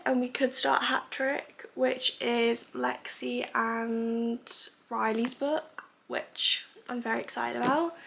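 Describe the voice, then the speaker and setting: A woman's voice: a little high, creaky, fairly bright tone. A young woman chatting casually in a reading vlog, stuffy with a cold and rambling as she thinks aloud. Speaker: book vlogger.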